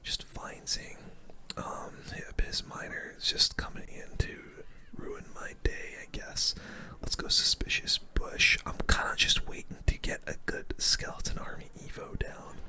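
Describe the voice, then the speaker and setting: An ASMR gaming host giving casual play-by-play commentary in a hushed, breathy, unvoiced whisper with gamer slang. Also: measured pace. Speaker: gaming video host playing a card battle game.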